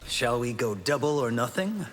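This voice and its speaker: A man's voice: mid-range voice, lively intonation, moderate pace, fairly bright tone, close to an everyday voice. A man voicing a humble, dutiful young warrior in a video game, sincere and somewhat formal. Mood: sincere, steady. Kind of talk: video game character banter. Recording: echoing hall with background noise.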